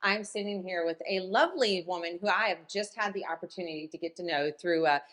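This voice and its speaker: A woman hosting a community podcast, upbeat and quick to praise her guest. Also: fairly low pitch, a bit bright. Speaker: podcast host. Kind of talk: casual interview chat. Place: studio or sit-down room.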